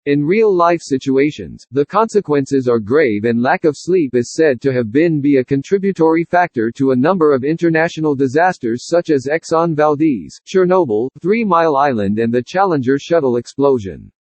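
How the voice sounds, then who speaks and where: A man's voice: a little high, animated, moderate pace, hardly any pauses, darkish tone, dry recording. A man reading a scripted academic passage aloud for test practice, on a dull lo-fi recording. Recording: dull, lo-fi, muffled audio.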